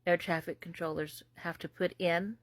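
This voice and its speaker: A woman's voice: lowish voice, fairly even intonation, moderate pace, no room sound. A woman giving sarcastic, incredulous political commentary from the United States. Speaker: commentary host.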